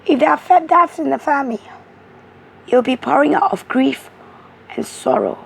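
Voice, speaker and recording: A woman with a hoarse, strained voice slowly explaining tarot cards. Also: sits high, animated. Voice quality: rough, strained voice. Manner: slow, halting, repetitive explaining. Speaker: tarot card reader.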